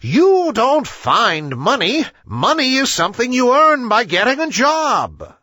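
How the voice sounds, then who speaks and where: A man's voice: very high voice, huge pitch swings, slow pace, bright, forceful tone, dry recording, studio quality, pitched above the performer's own voice, heavily acted. A man voicing a wise, impatient old owl in a children's story, speaking with hooting authority and emphasis. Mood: exasperated. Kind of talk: children's storybook character reading.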